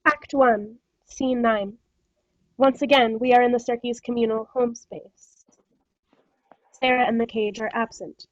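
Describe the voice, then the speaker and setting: A woman's voice: on the high side, fairly even intonation, a little slow, dry recording. A woman reading scene headings and stage directions as scripted narration, on a muffled video call.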